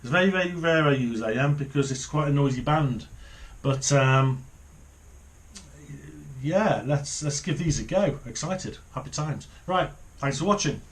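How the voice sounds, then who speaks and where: A man's voice: a little high, lively intonation, measured pace. A middle-aged man giving a casual, unscripted hands-on commentary, partly muttering to himself as he works. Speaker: hobbyist presenter of a radio repair video. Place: small home office, indoors.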